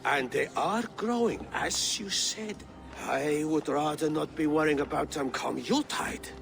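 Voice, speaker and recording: An actor playing a well-fed, fussy and genteel town official, anxious, in a dramatic video game. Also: male voice, on the high side, measured pace, creaky, fairly bright tone, soft background music. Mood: fretful.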